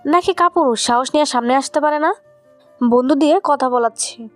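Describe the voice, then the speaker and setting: Woman playing a high-voiced, feisty schoolgirl. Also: fairly quick, faint music behind, lightly acted. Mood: stern, scolding. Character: feisty schoolgirl, stern and scolding, quick to soften. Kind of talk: audio story dramatization.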